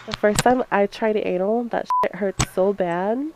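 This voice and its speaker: A man's voice: sits high, measured pace, very noisy. A man using a female voice changer to play a crude, teasing young woman chatting in an online game. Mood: mock complaining. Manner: brash, crude, provocative. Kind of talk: casual trolling chat over game voice chat.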